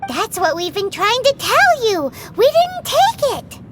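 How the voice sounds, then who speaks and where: Game fairy sidekick: extremely high, childlike, very animated, easily indignant, music underneath. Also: female voice, unhurried, full character voice. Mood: exasperated. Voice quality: squeaky, childlike. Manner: exclamatory, blurting, refers to self by name. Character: tiny excitable floating fairy companion, girlish, indignant. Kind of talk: animated video game character acting.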